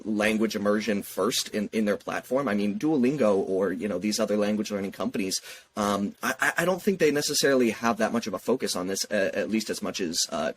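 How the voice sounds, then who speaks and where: A man's voice: on the low side, a little hiss or hum. A young man interviewing someone in a casual, conversational way, hesitant and repeating words as he forms his thoughts. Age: young adult.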